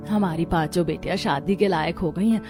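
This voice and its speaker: A woman's voice: on the low side, a little fast, darkish tone, soft background music, lightly acted. A woman playing a worried, scolding middle-aged mother in a moral story, speaking in an earnest, admonishing way. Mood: worried, exasperated. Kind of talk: Hindi moral story voice acting.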